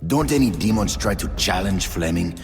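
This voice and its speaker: A man playing a brash, sardonic, foul-mouthed demon hunter in a video game, with a gruff voice. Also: animated, normal speed. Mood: sardonic, irritated. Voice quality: gravelly, low. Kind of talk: video game cutscene acting. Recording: loud game music behind the voice.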